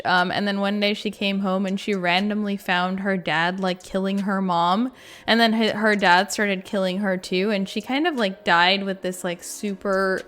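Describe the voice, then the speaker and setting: A woman's voice: medium pitch, a bit bright. A woman giving casual, informal reaction commentary on video clips, rambling and leaning on filler words like "like". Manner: informal, rambling, heavy use of "like". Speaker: gaming video creator.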